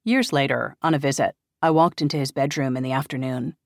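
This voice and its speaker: A woman's voice: extremely low pitch, fairly even intonation, a little fast, dry recording. Woman audiobook narrator, very dry studio sound.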